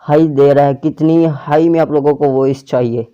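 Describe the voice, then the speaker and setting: A man's voice: fairly high pitch, fairly even intonation, normal speed, clear, resonant tone, lo-fi sound. A man hosting a casual tech tutorial, explaining an app step by step to viewers. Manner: step-by-step instructions addressed to viewers.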